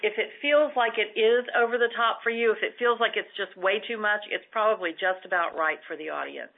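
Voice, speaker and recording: Woman co-host, steady and practical, heard through a phone-like line. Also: mid-range voice, a little fast, gravelly. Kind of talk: call-in advice show.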